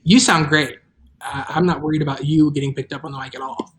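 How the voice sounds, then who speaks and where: Casual audio drama host chatting on a stream, dry deadpan jokes, mid-range voice. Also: mid-range voice, fairly quick. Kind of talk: casual livestream chat with cast.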